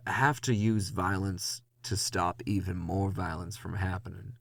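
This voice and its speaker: A man playing a weary, hard-boiled ghost hunter in a noir horror audio drama, gravelly, brooding and confessional. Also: low voice, a bit bright, no room sound, full character voice. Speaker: narrator and lead character.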